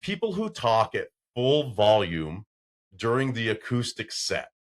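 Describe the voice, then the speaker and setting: A man with a deep, carrying voice hosting a casual podcast chat, telling stories and getting loud when excited. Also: measured pace. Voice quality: deep voice that carries. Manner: loud when excited, storytelling with acted-out quotes. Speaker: podcast host.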